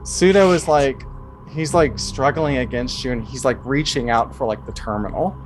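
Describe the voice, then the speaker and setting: A man acts as game master in a tabletop session. His voice sits at an average pitch, and he tells the scene in a loose, halting, conversational style. Faint music plays behind him. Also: low-quality recording. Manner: casual, halting, conversational delivery. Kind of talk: improvised tabletop game narration. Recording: music under the voice.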